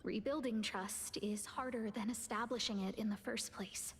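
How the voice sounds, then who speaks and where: A woman's voice: fairly high pitch, fairly even intonation, normal speed, slight background noise, natural voice. An actress playing a composed, concerned young woman in charge of a space station, polite and articulate, in a game cutscene. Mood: grave, concerned. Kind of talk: scripted game cutscene acting. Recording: long reverb, music under the voice.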